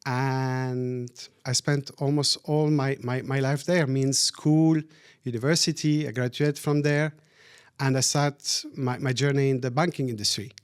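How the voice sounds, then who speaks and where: A man's voice: mid-range voice, fairly even intonation, unhurried, smooth. A middle-aged man born in Syria, speaking as a guest in a casual interview and often repeating words as he thinks. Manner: thoughtful, repeats words while searching for them.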